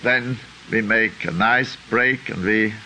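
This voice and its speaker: A man's voice: on the low side, slow pace, bright, forceful tone, slight background noise, low-quality recording. An older man with a Swiss accent giving an unscripted lecture, thinking aloud and tagging points with a questioning "huh?". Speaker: teacher.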